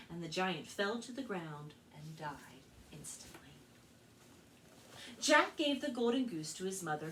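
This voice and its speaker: An American woman working as a children's librarian, telling stories and presenting to kids in an expressive, carefully spoken way. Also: fairly high pitch. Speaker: children's librarian and storytime host. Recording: distant mic with faint background noise.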